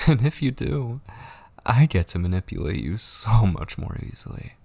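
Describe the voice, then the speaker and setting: A man acts out a possessive, obsessed male kidnapper for an ASMR roleplay. His low voice is husky and gravelly, coaxing and menacing by turns. He often sinks into breathy whispers, very close to the microphone. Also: animated, normal speed, darkish tone, dry recording. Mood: taunting, menacing. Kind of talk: ASMR audio roleplay acting.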